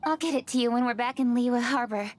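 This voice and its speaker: A woman's voice: on the high side, quick pace, darkish tone, close to an everyday voice. An actress playing a poised, formal young woman in an anime-style video game, articulate and composed. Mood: measured, polite.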